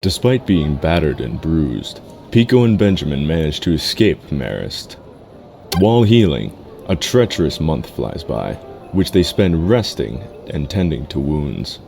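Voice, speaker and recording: Very deep, gravelly male narrator. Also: big pitch swings, measured pace, darkish tone, slight background noise. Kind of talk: scripted dramatic story narration.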